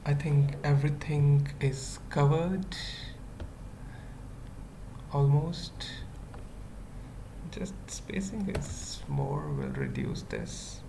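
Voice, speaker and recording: A tutorial presenter thinking aloud in halting, murmured fragments during an informal coding screencast. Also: medium pitch, very animated, whispered. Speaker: web development tutorial presenter.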